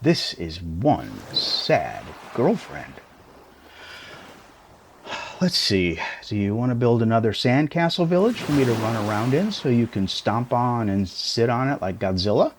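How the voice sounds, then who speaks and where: Male actor as shrunken boyfriend for intimate audio roleplay: affectionate tease, slow delivery, lively swooping intonation. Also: mid-range voice, slight background noise. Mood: playful, teasing. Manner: playful, teasing, affectionate, theatrical exclamations. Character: tiny shrunken boyfriend, playful, teasing, doting. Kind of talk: intimate scripted roleplay monologue, ASMR.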